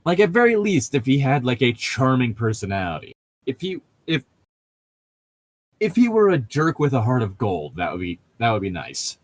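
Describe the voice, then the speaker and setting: A man's voice: mid-range voice, huge pitch swings, no echo. Exasperated man in rambling casual chat, dry sound. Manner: exasperated, rambling, halting rephrasing. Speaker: co-host reading and mocking a novel. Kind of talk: casual critical chat with read-aloud lines.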